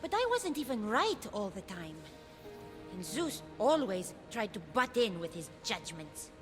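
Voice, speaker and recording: An actress voicing an unsure, petulant, youthful goddess of wisdom in a video game, with a hesitant, emphatic delivery. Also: female voice, mid-range voice, very animated, a little slow. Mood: doubtful. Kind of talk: video game cutscene acting. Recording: music behind the voice.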